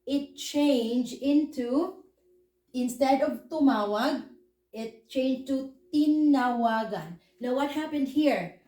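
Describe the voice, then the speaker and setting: Slow-paced Filipino woman teaching in echoey room. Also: sits high, warm tone. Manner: didactic, asks rhetorical check questions. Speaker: language teacher. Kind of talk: instructional lesson, explaining step by step. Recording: echoey, roomy sound.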